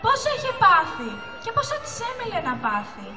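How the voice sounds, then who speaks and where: A woman's voice: extremely high pitch, wildly swooping pitch, crowd noise. A teenage girl narrating a school puppet show as a scripted story. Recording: echoey, distant mic, music behind.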